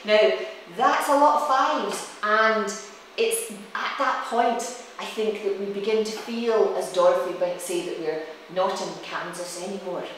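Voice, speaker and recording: A woman's voice: medium pitch, measured pace, fairly bright tone. A woman giving a reflective, explanatory talk on music, like a storytelling lecture from a presenter who also demonstrates. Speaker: presenter, cellist and music teacher. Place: reverberant indoor room. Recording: very echoey room, distant mic, faint noise.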